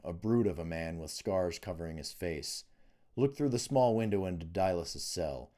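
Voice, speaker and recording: A man's voice: low-pitched, darkish tone. A man reading fantasy fiction aloud as an author narrating his own audiobook.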